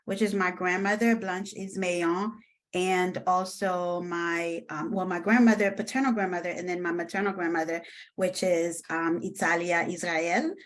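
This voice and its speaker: A woman in her thirties from Haiti, speaking reflectively and hesitantly as a panelist in an online discussion, with many pauses and fillers. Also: fairly low pitch, fairly even intonation. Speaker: panelist, deputy director.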